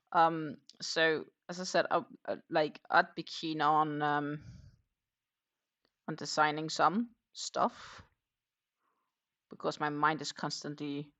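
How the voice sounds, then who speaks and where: A woman with a Danish accent talks in a relaxed, rambling way, thinking out loud as she goes. Her voice is bright and has a gravelly edge. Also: low voice. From Denmark. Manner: chatty, musing aloud with fillers and restarts. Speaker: crochet livestream host. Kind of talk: casual chat while crocheting.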